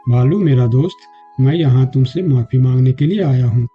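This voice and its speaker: A man's voice: mid-range voice, normal speed, slightly rough, natural voice. A man voicing a regal lion king in a children's cartoon story, stern but forgiving and remorseful. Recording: dull muffled audio with music.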